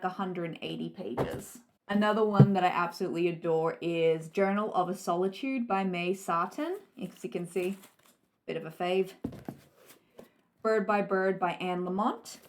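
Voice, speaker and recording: A woman from Australia, in her thirties, answers writing questions straight to camera. She talks in an encouraging, conversational way and often asks herself questions out loud. Her voice sits at an ordinary middle pitch. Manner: warm encouraging, rhetorical questions. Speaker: writing coach and author. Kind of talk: Q&A advice talk to camera.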